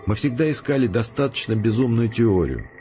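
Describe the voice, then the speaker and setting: An actor playing a calm, determined scientist who explains things earnestly and deliberately in an audio drama. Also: male voice, mid-range voice, natural voice. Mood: intense, philosophical. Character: resolute philosophical male scientist, calm, determined, reasoning patiently. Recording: muffled, with prominent music behind. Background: music.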